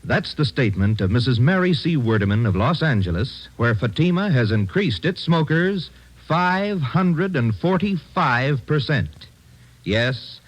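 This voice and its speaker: A man with a husky voice and an American accent, reading a mid-century radio advertisement in an emphatic sales delivery. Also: mid-range voice, poor audio. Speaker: commercial announcer. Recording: vintage radio broadcast.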